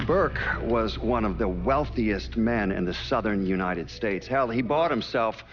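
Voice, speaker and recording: An actor playing a weary, dogged older FBI agent in a video game, recounting events dryly and deliberately as if interviewed for a documentary. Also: male voice, on the low side, expressive. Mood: wry, matter-of-fact. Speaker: federal agent. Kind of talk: documentary interview monologue, acted. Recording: dull muffled sound under loud music. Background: instrumental music.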